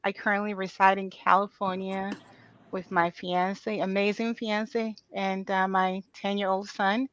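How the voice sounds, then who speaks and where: A woman from Ghana appearing as a talk show guest, speaking in a warm, enthusiastic way. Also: medium pitch, flat delivery, unhurried, lo-fi sound.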